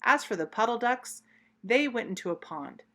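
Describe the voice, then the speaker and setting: Bright, animated woman reading a storybook. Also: fairly high pitch, normal speed. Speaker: children's storybook reader. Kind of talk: expressive read-aloud storytelling.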